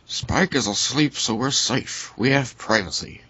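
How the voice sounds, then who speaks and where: A man's voice: mid-range voice, a little slow, sharp tone, slight background noise, voice pushed up. Man putting on an earnest pony girl, shift up. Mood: hushed relief. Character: earnest, worried young female cartoon pony. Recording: dull lo-fi recording.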